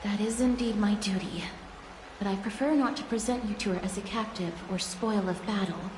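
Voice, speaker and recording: Woman doing a calm polite young lady in a game, formal and measured, echoey room and some noise. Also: mid-range voice, close to an everyday voice. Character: soft-spoken young woman Castorice, polite and composed. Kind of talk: video game voice acting.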